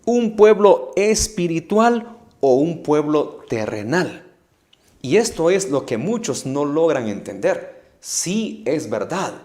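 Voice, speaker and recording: A man delivers a sermon. He speaks slowly, with a somewhat high voice that swings widely in pitch, asks rhetorical questions, and rises into forceful bursts. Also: very animated. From Guatemala. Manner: emphatic, rhetorical questions, rising to loud bursts. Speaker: preacher.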